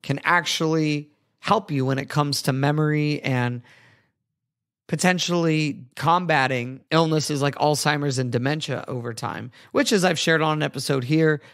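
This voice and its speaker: A man hosting his own podcast, talking solo in an earnest, chatty way with a hoarse, raspy voice from losing it. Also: fairly high pitch, normal speed, fairly bright tone. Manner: earnest, chatty, self-correcting. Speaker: podcast host.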